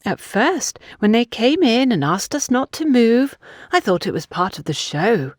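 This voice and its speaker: A woman's voice: lowish voice, dry recording, clean studio sound. Emphatic, gossipy society mother acted by a woman. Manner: emphatic, chatty, dramatic. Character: older society mother, gossipy, worried, insistent matchmaker.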